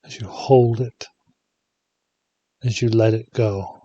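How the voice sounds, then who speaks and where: A man leading a guided meditation in a soothing, hushed manner, leaving long pauses between short phrases. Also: on the low side, fairly even intonation, breathy edge, dry recording, muffled recording.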